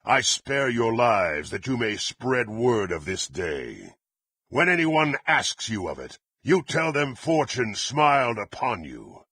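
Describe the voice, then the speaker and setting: A proud, bloodthirsty warrior from a fantasy video game. His lowish voice is rough and gravelly, swinging widely in pitch as he delivers imperious threats in formal, archaic phrasing. The recording is dry and close. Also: big pitch swings, slow, bright, forceful tone, no room sound, heavily acted. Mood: menacing, contemptuous. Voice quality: gravelly, husky. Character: menacing, proud, bloodthirsty warrior serving a dark patron.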